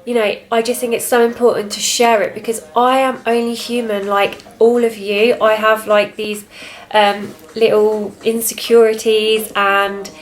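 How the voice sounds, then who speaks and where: A young woman with a British accent and a soft, quiet voice, chatting candidly and a little reservedly as a fitness vlogger. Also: on the high side, flat delivery, measured pace, rough recording. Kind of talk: casual confessional chat to camera.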